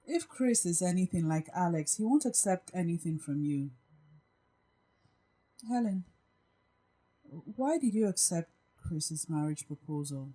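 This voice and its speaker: A woman playing a gracious, composed and caring office boss in an audio drama, politely attentive and gently probing. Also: fairly low pitch, expressive, measured pace, long pauses, husky, rough recording. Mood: concerned, probing. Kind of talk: acted audio drama dialogue.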